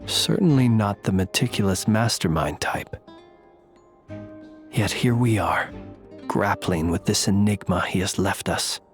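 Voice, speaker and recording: A man playing a composed, analytical, enigmatic warrior in a video game, speaking in a measured, formal, solemn manner. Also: fairly low pitch, natural voice. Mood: grave, measured. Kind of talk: video game character acting. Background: music.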